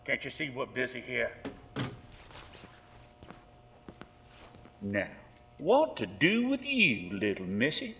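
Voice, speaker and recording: An actor playing a sadistic killer sheriff with a theatrical, taunting drawl in a horror audio drama. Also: male voice, mid-range voice, huge pitch swings, normal speed, fairly bright tone. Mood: quiet, scheming. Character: sadistic cannibal killer sheriff, a man, smugly menacing. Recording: long hall reverb, music underneath.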